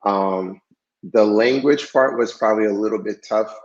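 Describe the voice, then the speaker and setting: A man guest in a casual podcast interview, talking in a relaxed, hesitant way with many fillers, French his first language. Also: on the low side, moderate pace. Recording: dull lo-fi sound.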